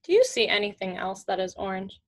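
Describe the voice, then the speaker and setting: A woman's voice: mid-range voice, sing-song, fairly quick, slight background noise. A woman in her twenties reading a picture book aloud to children in simple, teacherly phrases with questions. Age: young adult, 20s. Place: small indoor room at home with shelves.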